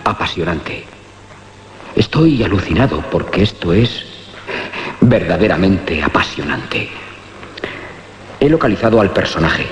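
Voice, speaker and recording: An actor playing an obsessed, excitable man in a horror radio drama. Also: low-pitched. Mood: fascinated. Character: obsessed, excited man bent on righting an injustice. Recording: dull audio with faint noise.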